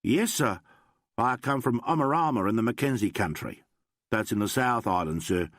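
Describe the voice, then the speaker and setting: Bir adamın seslendirdiği kibar, sakin taşralı çavuş. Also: male voice, mid-range voice, lively intonation, no echo, clean studio sound, natural voice. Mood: respectful. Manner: respectful, plain, measured. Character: calm polite country-bred male police sergeant.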